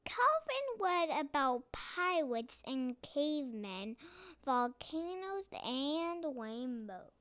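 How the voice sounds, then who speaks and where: A child reading a picture book aloud carefully, phrase by phrase, with pauses. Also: sits high, fairly bright tone, clean tone, dry recording. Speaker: storybook reader.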